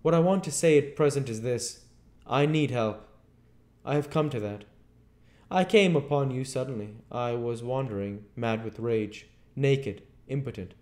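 A man's voice: mid-range voice, unhurried, a little mellow, pitched above the performer's own voice, close to an everyday voice. A man voicing a desperate, domineering invisible man in a storybook, commanding, insistent and well-spoken.

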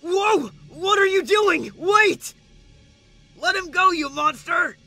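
High-pitched brash cartoon boy voiced by a man. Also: lively intonation, slow pace, creaky, pushed and bright, raised voice, exaggerated voice. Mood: alarmed. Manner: exclamatory, brash. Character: young boys, excitable and brash, cartoon humans. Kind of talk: comic dub voice acting.

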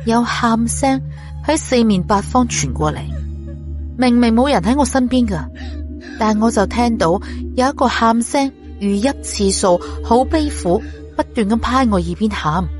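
Woman, scripted story narration, audiobook narrator, music in background. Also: on the high side.

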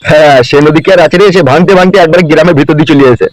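A man's voice: on the high side, steady tone, very fast, dry recording, lightly acted, low-quality recording. A man voicing a woeful village husband in a comedy cartoon, speaking colloquially in a rural West Bengal accent. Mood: conversational. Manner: lamenting, colloquial.

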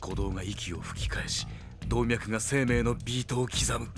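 A tough, coolly defiant teenage hero in an anime audio drama. His voice is low and gruff, with terse, deadpan phrasing. Music plays clearly behind him. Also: moderate pace. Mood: grimly determined. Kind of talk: Japanese anime-style audio drama acting.

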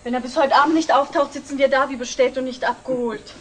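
An actress playing a sulky young daughter in a 1960s German TV drama, petulant and reproachful. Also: female voice, high voice, fairly even intonation, fast, a little hiss or hum, lightly acted. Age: young adult.

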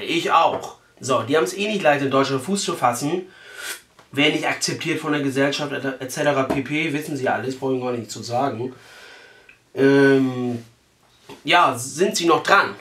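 Brash young man, higher voice, roomy sound. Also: fairly bright tone. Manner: brash, crude, mock-earnest, colloquial. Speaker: prank call host. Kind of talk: casual prank phone call. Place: small living room, indoors.

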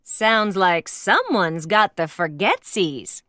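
A woman's voice: mid-range voice, big pitch swings, takes it slowly, fairly bright tone, dry recording, clean studio sound, pitched below the performer's own voice. A woman voicing a motherly, sensible toy hippo in a children's storybook, reassuring and knowing in manner. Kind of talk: children's storybook character reading.

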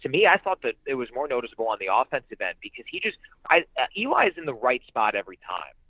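A man's voice: mid-range voice, very animated, quick pace, no room sound. A man giving conversational sports analysis as a radio announcer guest, emphatic and repeating phrases for emphasis. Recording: muffled, band-limited phone-like radio sound.

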